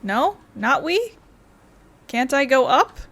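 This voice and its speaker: A woman's voice: high voice, sing-song, slow pace, fairly bright tone, a little hiss or hum. A young woman giving casual, informal let's play commentary with a wry manner. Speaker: gaming video creator. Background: game music.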